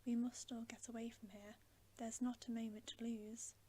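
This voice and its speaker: A woman voicing a grave, wary male talking beaver in a hushed, whispered bedtime story reading. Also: on the high side, flat delivery, slightly rough. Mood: urgent. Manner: hushed, whispered, deliberate warnings.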